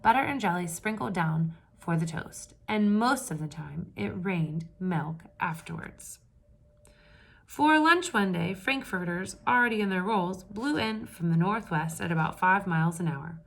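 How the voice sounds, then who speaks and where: A woman reading a picture book aloud to children with lively expression, pausing to ask her listeners questions in hushed asides. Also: lowish voice, gravelly. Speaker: read-aloud storyteller for children.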